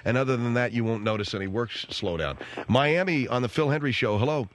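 A man's voice: medium pitch, quick pace, slight background noise. A man hosting a radio call-in show, probing callers with questions. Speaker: radio talk show host.

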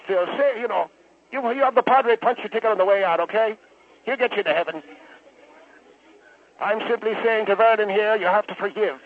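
High-pitched crotchety old Irish priest. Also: male voice. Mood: preachy, dismissive. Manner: rambling, indignant, old-fashioned phrasing. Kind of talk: comic radio call-in. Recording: thin, phone-like radio call.

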